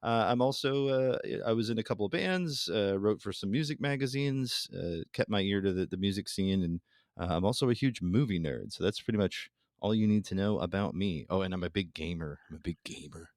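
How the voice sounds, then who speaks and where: A man's voice: fairly low pitch, a little fast, dry recording, studio quality. A man chatting casually and playfully as a guest on a podcast.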